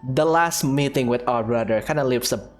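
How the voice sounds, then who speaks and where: A woman's voice: extremely low pitch, normal speed, faint music behind. A woman streaming a game with casual, reflective commentary, answering the characters a little hesitantly.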